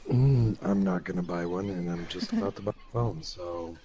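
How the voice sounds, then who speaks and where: A man co-hosting a gaming livestream over voice chat, talking casually and haltingly while he plays. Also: low-pitched, dull audio, a crowd in the background. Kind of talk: casual chat while playing a video game. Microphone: online voice chat. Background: loud game music.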